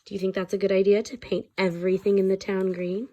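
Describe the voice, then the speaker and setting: A woman reading a children's story aloud, pausing to explain things simply to her listeners. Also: mid-range voice, flat delivery, warm tone, dull audio. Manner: pauses to explain words and ask listeners questions.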